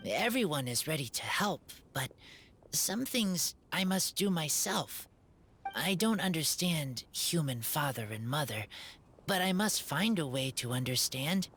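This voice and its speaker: A shy, earnest wolf-raised teenage boy in a video game. The voice is low and slow, moving in halting, simple phrases with expressive swings, over faint noise. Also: exaggerated voice. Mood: pensive, earnest. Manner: halting, simple broken sentences.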